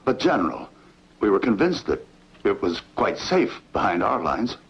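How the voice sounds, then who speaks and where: A man's voice: on the low side, very animated, normal speed, exaggerated voice. A man playing a humble, frightened slave who pleads deferentially in a dubbed sword-and-sandal film. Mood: pleading. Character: humble frightened male slave reporting an ambush. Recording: muffled lo-fi film audio, clear noise.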